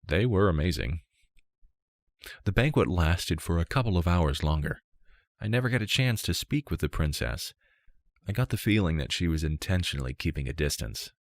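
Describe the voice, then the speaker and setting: A man reading a first-person fantasy story as an audiobook narrator, in a measured, unhurried way. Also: low voice, big pitch swings, no echo, studio quality.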